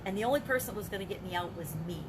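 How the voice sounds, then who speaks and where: A woman's voice: mid-range voice, lively intonation, very fast. Chatty grandmother vlogging over background noise. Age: older. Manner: chatty, self-reflective, frequent restarts and rhetorical questions. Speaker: business coach and vlogger. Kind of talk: casual video journal.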